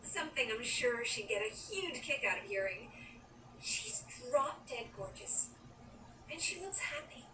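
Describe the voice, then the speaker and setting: A woman's voice: on the high side, big pitch swings, a little slow. An actress playing a wistful, dreamy young woman who tells her own story in an intimate, girlish way in an audio drama. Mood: reflective narration. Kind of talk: audio drama acting with first-person narration. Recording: roomy, distant, noisy copy of audio drama.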